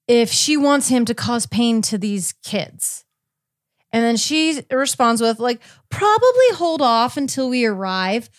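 A woman's voice: fairly high pitch, dry recording. Casual woman podcaster, close dry sound. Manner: informal, filler-heavy, halting delivery. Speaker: podcast host. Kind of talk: casual chat recounting a court case.

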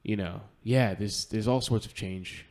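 A man's voice: fairly low pitch, a little slow, darkish tone. Chatty man in his twenties. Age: 20s. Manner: rambling, laughs often, keeps asking "you know what I mean". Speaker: podcast host. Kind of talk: casual podcast chat.

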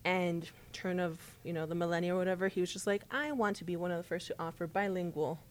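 A woman from South Texas, raised speaking Spanish, talks as a guest on a sports podcast. Her voice sits a little low, and she tells long stories in a loose, chatty way, full of "like" and quick laughs. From South Texas, USA. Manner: chatty, storytelling, frequent "like" and laughs. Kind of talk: casual interview chat.

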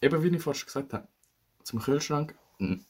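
A man's voice: fairly high pitch, fairly quick, background noise. A young man with a Swiss German accent chatting casually as a podcast co-host, rambling, searching for words and laughing often. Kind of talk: casual chat.

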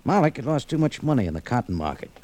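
An actor playing a tough, wry adventurer in an old radio detective drama, with punchy hardboiled delivery. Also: male voice, medium pitch, sing-song, fast talker, warm tone, natural voice. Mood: wry, matter-of-fact. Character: tough, wry male adventurer narrating his exploits. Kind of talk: 1940s-50s radio detective drama acting. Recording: slightly noisy old radio recording.

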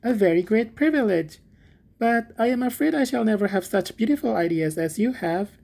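A man's voice: sits high, animated, normal speed, gravelly, darkish tone, pitched above the performer's own voice, full character voice. A man voicing a timid, naive little gardener in a storybook, shy and eager to please. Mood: humble, admiring. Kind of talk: storybook character voice.

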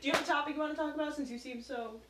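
A young woman co-hosting a podcast, teasing quickly and laughing often. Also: high voice, roomy sound, far from the mic, a crowd in the background. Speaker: podcast co-host. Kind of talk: casual chat.

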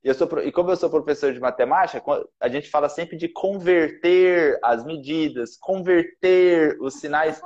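A man's voice: a little high, normal speed, few pauses, a little mellow. A man from Goiás, Brazil, with a strong local accent and a rolled R, a math teacher chatting easygoingly on a live stream. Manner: easygoing, storytelling. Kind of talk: casual chat on a live stream. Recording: dull, lo-fi sound.

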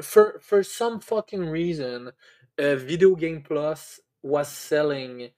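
A man hosting a casual video game podcast, stammering and repeating words often, swearing freely. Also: medium pitch. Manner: casually foul-mouthed. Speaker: podcast host. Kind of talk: casual chat about video games.

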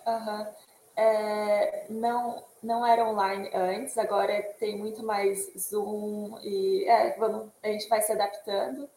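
A woman's voice: medium pitch, level tone, slow, dark tone, echoey room, slight background noise, poor audio. A woman from Brazil, a guest in a casual interview on a video call, speaking in an easygoing way and laughing often between sentences.

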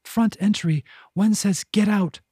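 A man's voice: sits high, measured pace, darkish tone, breathy edge, no echo, clean studio sound, pitched above the performer's own voice, heavily acted. A man voicing a small cat that speaks in short, clipped, urgent phrases. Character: small cat sending thoughts, terse and nervous.

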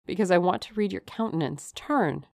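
An earnest young woman in love acted by a woman reader speaks with guarded, questioning devotion. Her voice has a creaky edge and sits in a dry, close audiobook recording. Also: on the low side, moderate pace, darkish tone, no room sound. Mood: searching. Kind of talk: dramatic audiobook character reading.

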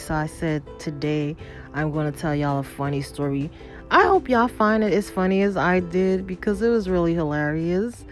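A woman hosting a podcast, telling a personal story in a casual, colloquial way and acting out what people said. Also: lowish voice. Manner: animated retelling, acts out quoted dialogue; colloquial, informal grammar. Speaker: podcast host.

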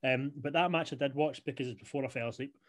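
Guy on a podcast, rambly, says you know a lot, average pitch. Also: fast. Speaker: podcast co-host. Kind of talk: casual chat.

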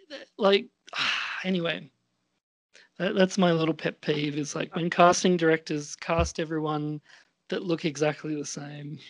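A woman's voice: lowish voice, no room sound. A woman in her forties chatting casually as a podcast co-host, rambling a little hesitantly. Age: 40s. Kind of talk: casual chat.

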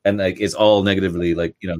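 A man's voice: sits low, flat delivery, racing, a little mellow. A man from Canada chatting casually as an interviewer, informal and opinionated, rambling a little. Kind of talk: casual chat.

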